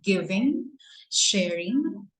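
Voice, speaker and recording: A woman with a Filipino accent speaks earnestly in a mid-pitched voice. She talks like a motivational speaker, with a gentle preachiness, and she stops now and then to ask her listeners rhetorical questions. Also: sing-song, very slow, poor audio. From Philippines. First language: Tagalog. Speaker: guest speaker. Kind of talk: motivational talk.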